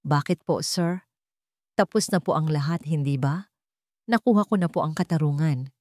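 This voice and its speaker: Young housemaid played by a female narrator: respectful, guarded, quietly firm, for a dramatic love story, dry sound. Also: sits low, moderate pace, no echo, close to an everyday voice. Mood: hurt, firm. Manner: polite but distant. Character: shy, dignified young maid, hurt but resolute.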